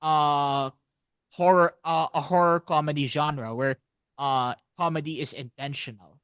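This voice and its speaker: A man co-hosting a casual podcast, explaining things haltingly and often circling back to repeat phrases. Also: a little high, steady tone, fairly bright tone, smooth. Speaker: podcast co-host.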